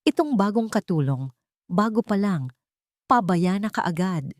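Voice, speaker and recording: Woman voicing a haughty, sharp-tongued rich lady. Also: mid-range voice, expressive, a little slow, no echo, studio quality, natural voice. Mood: scornful accusing. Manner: contemptuous, commanding.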